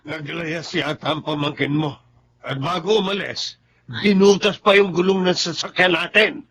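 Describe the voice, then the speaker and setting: An actor playing a cruel, hot-tempered uncle in a Filipino radio drama, scolding and menacing. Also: male voice, fairly high pitch, steady tone, moderate pace, fairly bright tone, slight background noise. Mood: annoyed. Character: cruel, hot-tempered uncle, adult man bullying his nephew.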